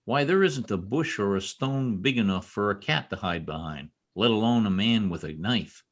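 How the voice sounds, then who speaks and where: A man in an old-time radio play, acting a protective, brusque young state trooper with a gruff, commanding manner. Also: fairly low pitch, lively intonation, normal speed, dull audio. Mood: protective, dismissive. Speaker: amateur radio play actor. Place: home, over a video call.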